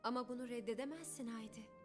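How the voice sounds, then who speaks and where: Caring, persuasive cartoon aunt, coaxing, fairly high, acted by a woman, music clearly behind. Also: quick pace, lightly acted. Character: young aunt, caring but firmly persuasive. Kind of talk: dubbed cartoon acting.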